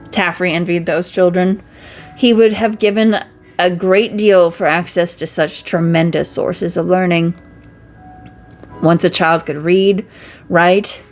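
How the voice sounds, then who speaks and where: A woman reading a fantasy story aloud as an amateur, sometimes stumbling over words. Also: on the low side. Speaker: amateur reader.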